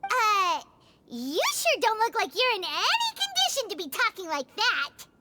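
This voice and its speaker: A woman's voice: extremely high pitch, very animated, unhurried, background noise, full character voice. A woman voicing a small, chirpy, childlike fairy companion, blunt and curious, in a video game cutscene. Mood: excited.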